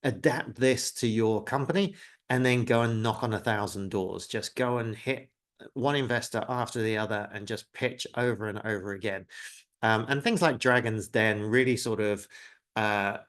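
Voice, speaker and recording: A man in his forties with a lowish voice who grew up in the UK presents a live webinar. He talks conversationally, with lots of "uh" and "um" and a self-deprecating streak. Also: moderate pace, fairly bright tone. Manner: conversational, frequent filler words, self-deprecating.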